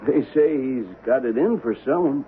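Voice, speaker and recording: A man's voice: medium pitch, normal speed, narrow, tinny audio, natural voice. A chatty, gossiping frontier barber played by a man with an American accent and a mid-range voice. He is folksy and deferential, in a thin vintage radio drama recording with faint noise. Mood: gossipy. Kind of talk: 1970s radio drama acting.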